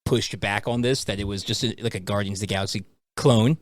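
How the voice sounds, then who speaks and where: A man's voice: lowish voice, fairly even intonation, a little fast, dry recording. Man chatting casually, dry close sound. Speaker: podcast co-host. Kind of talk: casual chat.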